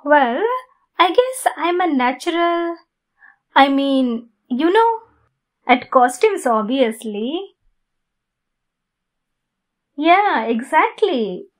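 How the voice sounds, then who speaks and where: Woman acting a high-voiced, flustered demon girlfriend. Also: expressive, full character voice. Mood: evasive, nervous. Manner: halting, flustered, intimate delivery. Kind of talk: ASMR roleplay.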